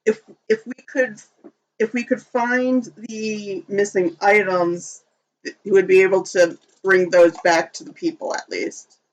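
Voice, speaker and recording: Slow, halting tabletop game master. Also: mid-range voice, flat delivery, poor audio. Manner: slow, hesitant narration with fillers.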